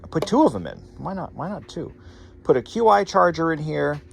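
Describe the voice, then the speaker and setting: A man's voice: fairly high pitch, sing-song, moderate pace, creaky, a little hiss or hum. A man hosting a hobby repair channel, talking casually to viewers in a rambling, wry way with sarcastic asides.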